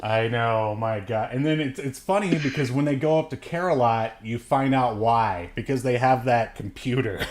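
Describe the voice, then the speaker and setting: A man's voice: medium pitch, normal speed, a little mellow. Casual man chatting as podcast co-host. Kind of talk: casual movie review chat.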